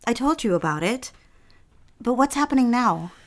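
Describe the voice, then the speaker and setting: A woman's voice: a little high, lively intonation, moderate pace. An actress playing an anxious young female secretary, apologetic and unsettled. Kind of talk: audio drama acting.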